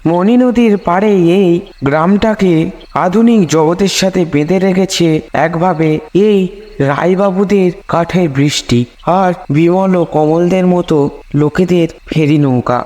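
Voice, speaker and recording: High-pitched man narrating in deep reverb. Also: darkish tone. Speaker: narrator of a horror cartoon story. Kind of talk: scripted ghost story narration. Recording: long hall-like reverb on voice.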